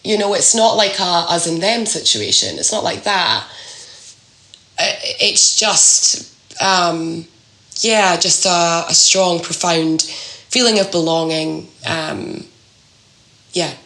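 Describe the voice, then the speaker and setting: A woman speaks in a low voice with plenty of gravelly fry. She is reflective and conversational, halting as she looks for the right words. The room rings noticeably around her. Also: sharp tone, roomy sound, low-quality recording. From Scotland. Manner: halting, thoughtful, searching for words. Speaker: podcast guest sharing a personal story.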